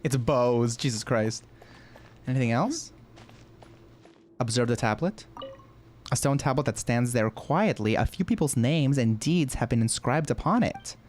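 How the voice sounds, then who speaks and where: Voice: medium pitch, expressive, unhurried, long pauses, a little mellow, slight background noise. A game streamer chatting casually and reacting with exclamations and swearing, sometimes reading game text aloud.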